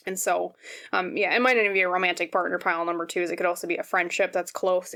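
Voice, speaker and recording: A woman with a slightly scratchy voice giving a casual, conversational tarot reading to her viewers, explaining gently and often checking in. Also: fairly low pitch. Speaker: tarot reader, host.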